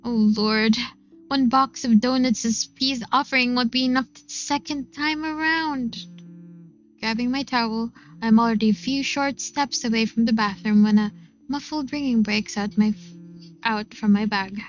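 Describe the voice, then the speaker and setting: A woman reading a visual novel aloud in an expressive, unhurried first-person narration. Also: fairly high pitch, dull audio. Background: game music.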